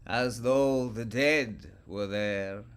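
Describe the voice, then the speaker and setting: A male vampire aristocrat from Transylvania in a radio drama. He is slow and grave, speaking in a brooding, portentous manner. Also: mid-range voice, clean tone. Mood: wistful, brooding. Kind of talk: radio play acting.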